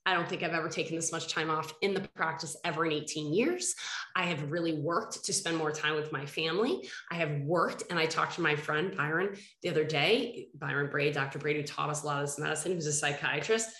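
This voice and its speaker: A woman's voice: sits low, a little fast, a bit bright, roomy sound. A woman hosting a podcast interview, a little nasal and congested from a head cold, talking earnestly and rambling with restarts. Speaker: podcast host. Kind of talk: casual interview chat.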